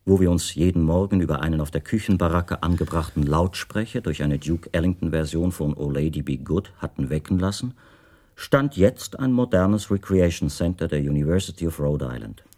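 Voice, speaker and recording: A man playing a melancholy, detached middle-aged writer, reading his recollections in a reflective, unhurried way for a radio play. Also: low voice, dark tone. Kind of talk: first-person literary monologue in a radio play.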